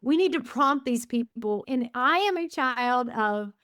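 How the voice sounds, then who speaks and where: A woman in her fifties, a guest on a podcast, telling stories with animated shifts in pace and frequent self-quotes. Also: high voice, dry recording, studio quality. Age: 50s. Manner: enthusiastic storyteller, quotes herself often, speeds up and slows down. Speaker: guest, gratitude coach and author. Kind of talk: conversational podcast interview.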